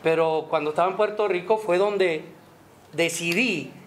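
A man's voice: high-pitched, moderate pace, a little hiss or hum. A man from New York, a salsa singer, speaking in a friendly, modest, chatty way at an indoor press conference. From New York, USA. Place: indoor restaurant, seated at a table. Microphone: table microphones, later handheld mic.

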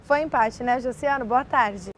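A woman's voice: on the high side, a little slow, faint music behind, poor audio. Young woman anchoring local TV news. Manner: reads from notes, small restarts. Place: indoor studio set. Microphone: lapel mic.

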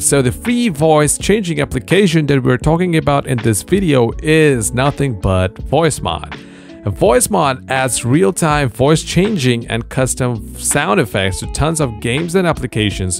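A man narrating a scripted software tutorial as host, with an emphatic, sing-song instructional delivery. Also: medium pitch, vocal fry. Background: background music.

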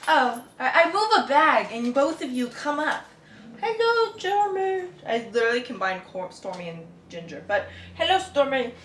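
Young woman, home cooking vlogger, bright voice, slangy and unscripted, roomy kitchen sound. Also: high-pitched, very animated, normal speed. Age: young adult. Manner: informal, slangy, thinking aloud. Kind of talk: casual vlog chat while cooking. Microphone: camera set up a step away. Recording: echoey room, faint noise, kitchen clatter.

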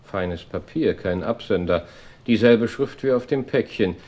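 Analytical detective, self-assured, dry, lowish voice, slow delivery, radio play. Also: male voice, darkish tone. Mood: terse, focused. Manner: clipped, deliberate, dry. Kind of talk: radio drama acting.

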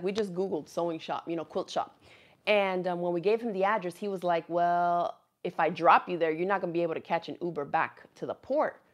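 A woman in her thirties from Miami, a native Spanish speaker, hosting a casual live craft chat, animated and quick to laugh, at a fixed camera in a small craft room. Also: medium pitch. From Miami, USA. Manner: chatty and animated, laughs often, reads viewer comments aloud. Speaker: craft channel host. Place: small indoor craft room with quilts on the wall.

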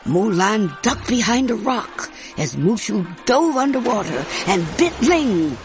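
A woman playing a fond grandmother, narrating a storybook in a measured, expressive way. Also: medium pitch, over music. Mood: fond, dramatic.

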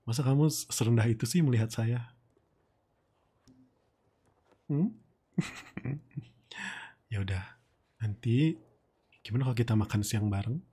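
Man playing a breathy, mellow, flirtatious boss. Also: medium pitch. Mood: teasing.

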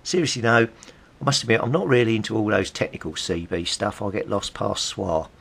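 A man's voice: fairly low pitch, lively intonation, a little fast, vocal fry, fairly bright tone, husky. A middle-aged British man giving casual, rambling, nostalgic commentary, chatty and musing aloud as he goes. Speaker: hobbyist presenter.

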